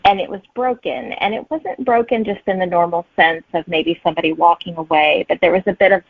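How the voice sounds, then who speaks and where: A woman's voice: fairly low pitch, fairly even intonation, brisk, dry recording, phone-quality sound. A woman chatting casually as an author being interviewed, heard over a thin remote connection.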